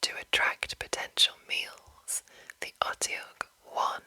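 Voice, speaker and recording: A woman whispering an ASMR reading of a game manual in a breathy, unvoiced voice, deliberate, with pauses between short phrases. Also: bright, forceful tone. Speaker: ASMR channel host.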